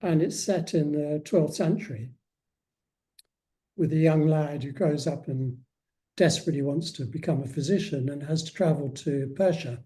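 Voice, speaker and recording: Mellow male author chatting in an interview. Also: a little high, normal speed, low-quality recording. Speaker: guest author. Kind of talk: casual interview chat.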